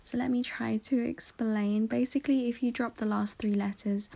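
A woman's voice: on the high side, fairly even intonation, normal speed, vocal fry, dark tone. A woman in her twenties, a podcast co-host, introducing herself in a composed, precise way with small laughs. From half Filipino, half Italian, living in London. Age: 20s.

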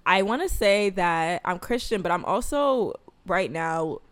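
A woman's voice: fairly low pitch, expressive, measured pace, fairly bright tone. A woman in her twenties hosting a podcast in a casual, conversational way. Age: 20s. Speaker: podcast host.

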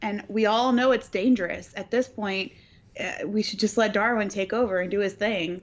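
A woman's voice: medium pitch, fairly even intonation, moderate pace, highs cut off. A woman co-hosting a podcast in casual, profane, joking chat, with a scratchy, partly lost voice. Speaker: podcast co-host.

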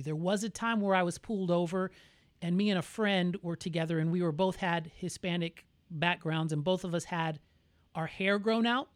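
A man with an American accent co-hosting a podcast, talking in a candid, reflective and measured way. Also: high voice, dry recording. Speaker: podcast co-host. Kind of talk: casual conversational podcast.

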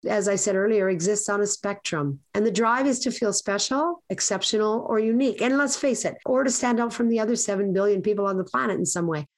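A woman with a raspy, hoarse voice hosting a podcast, giving earnest, rambling advice in a casual lecturing way. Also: mid-range voice, fairly quick, hardly any pauses. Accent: Canadian. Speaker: podcast host.

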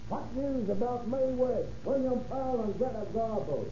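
A newsboy crying out headlines in an old radio ad. The voice is very high and slowly drawn out. It echoes on a dull recording. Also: male voice, mellow, a little hiss or hum. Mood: urgent hawking. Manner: drawn-out calling. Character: newsboy hawking papers in a high street cry. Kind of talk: 1930s radio advertisement acting.

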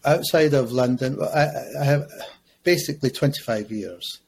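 A man in his fifties talks as a podcast guest, weighing his words carefully and pausing often. His voice sits at an ordinary middle pitch. A faint noise hums behind him. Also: a little mellow. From Scotland. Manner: measured, reflective, frequent hesitations. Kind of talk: conversational podcast interview.

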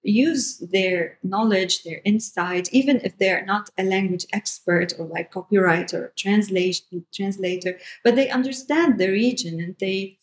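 A woman's voice: medium pitch, measured pace, few pauses. A woman from Syria, a native Arabic speaker, talking informally as an interview guest and giving advice with frequent asides. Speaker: podcast guest, content agency founder.

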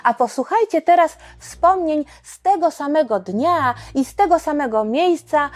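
A woman's voice: high-pitched, lively intonation, moderate pace, darkish tone, soft background music. A woman narrating history for children as a podcast host, explaining things and addressing listeners directly.

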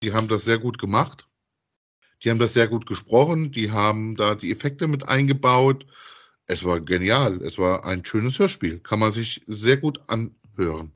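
A man's voice: on the low side, expressive, normal speed, a bit bright. A man co-hosting a podcast, chatting casually in a rambling, repetitive way as he reviews. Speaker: podcast co-host.

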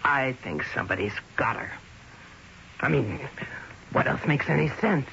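A man's voice: medium pitch, lively intonation, moderate pace, creaky. An actor playing a blunt, sardonic young man with an offhand manner in a radio drama. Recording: muffled, hissy old radio broadcast.

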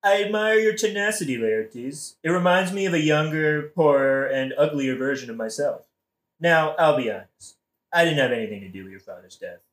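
Guy playing smooth scheming king, casual modern talk, satirical audio drama, echoey room. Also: on the high side, animated, moderate pace, fairly bright tone. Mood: placating, self-satisfied. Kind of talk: satirical modern-language audio play acting. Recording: roomy, echoing audio-play recording.

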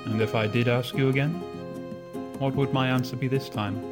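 An actor playing an ardent, tender and courtly gentleman suitor in a formal 1940s radio romance style. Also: medium pitch, fairly even intonation, normal speed, lightly acted. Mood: courteous, hopeful. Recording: string music under the voice.

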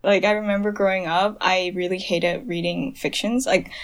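A woman's voice: on the low side, fairly even intonation, moderate pace, low-quality recording. A young woman from Hong Kong, a podcast guest, chatting casually with lots of fillers and laughter. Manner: chatty, many fillers, laughs while telling. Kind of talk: casual chat.

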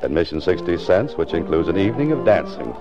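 American noir sleuth, bottom-register voice, terse and self-questioning; old muffled radio drama sound. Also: male voice, low voice, very animated, quick pace. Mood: brooding. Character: hard-boiled male author-detective, brooding, determined, narrating his case. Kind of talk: 1940s radio acting, noir narration. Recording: muffled 1940s radio recording, music behind.

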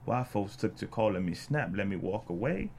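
A man's voice: lowish voice, very animated, normal speed, creaky, darkish tone, a little hiss or hum. A man playing a sinister, haunted old bluesman, at a screenplay table read. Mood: haunted, bitter.

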